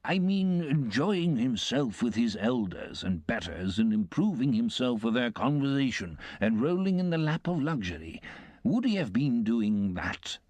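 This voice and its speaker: A man voicing a pompous, self-important middle-aged uncle who lectures slowly and with emphasis. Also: mid-range voice, sing-song, darkish tone, no room sound, pitched above the performer's own voice. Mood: lecturing.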